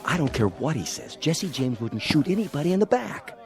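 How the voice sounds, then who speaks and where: An actor playing a polite, earnest co-star who objects hesitantly. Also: male voice, a little high, very animated, a little fast, vocal fry. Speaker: actor playing Jesse James.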